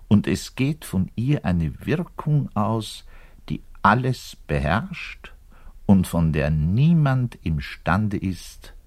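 An actor playing a pompous, aggrieved middle-aged orchestra double bassist, delivering a theatrical, emphatic radio monologue in a husky voice. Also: male voice, full character voice. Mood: solemn, reverent. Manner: pompous, emphatic, didactic, swinging between declaiming and muttering.

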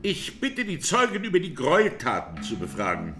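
Male lawyer, radio play, high-pitched, lively intonation, formal, pressing. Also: normal speed, a little hiss or hum. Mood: insistent. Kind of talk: radio drama courtroom address.

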